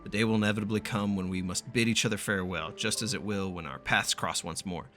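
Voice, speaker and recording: A man's voice: on the low side, quick pace, bright, forceful tone, soft background music. A man streaming a game, reading its text aloud in a casual, profane way and stumbling now and then. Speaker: gaming streamer doing a playthrough.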